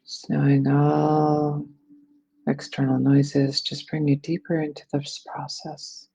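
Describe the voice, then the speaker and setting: A person leading a guided meditation in a soothing, unhurried manner. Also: medium pitch, monotone, warm tone, rough recording. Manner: soothing, unhurried phrasing with breathy releases.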